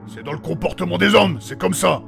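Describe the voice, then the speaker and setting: Man playing a gravelly, brash tough guy. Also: high-pitched, a little fast, fairly bright tone, faint music behind, heavily acted. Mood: blunt, preachy. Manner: blunt, crude, combative. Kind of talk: comic fantasy audio drama.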